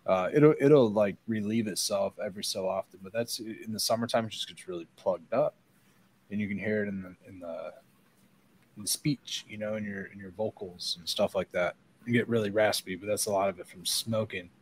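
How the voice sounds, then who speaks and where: A man's voice: on the low side, brisk, slight background noise, low-quality recording. Middle-aged Midwestern guy, nasal and congested, casual and rambling, swears a lot. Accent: Midwestern American. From American Midwest. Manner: casual, rambling, swears often, clears throat. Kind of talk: casual chat.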